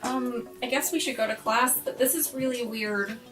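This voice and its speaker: A woman playing a dramatic, insistent junior high girl in a tabletop game. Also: moderate pace, far from the mic, over music, close to an everyday voice. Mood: puzzled, insistent.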